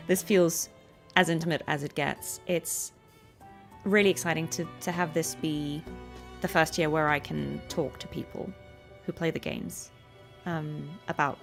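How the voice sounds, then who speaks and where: Chatty woman streamer, low gravelly-edged voice. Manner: chatty, giggly, affectionate toward viewers. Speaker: streamer and voice actress. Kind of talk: casual livestream chat to viewers. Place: at home.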